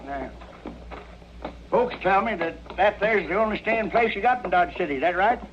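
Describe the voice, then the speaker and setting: An actor playing a scrawny old trail drifter, blustery and sly, with a rural American accent in a radio western. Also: male voice, sits high, lively intonation, normal speed. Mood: brash, combative. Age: older. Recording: muffled, noisy old radio recording.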